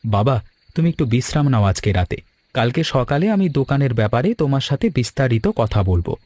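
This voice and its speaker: A man's voice: medium pitch, lively intonation, fast, darkish tone, dry recording, close to an everyday voice. A man voicing an earnest, devoted grown son in cartoon dialogue, with music behind him. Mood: earnest, reflective.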